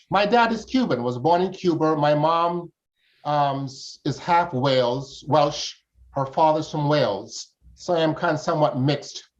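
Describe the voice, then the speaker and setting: An older man from Jamaica, answering as an interview guest in formal, flowery phrasing. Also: on the high side. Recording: dull, lo-fi audio.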